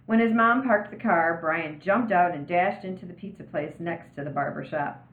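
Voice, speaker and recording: Echoey low-voiced woman reading aloud. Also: moderate pace, phone-quality sound. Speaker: reader of a children's novel aloud. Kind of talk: expressive read-aloud storytelling. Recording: roomy, band-limited home recording.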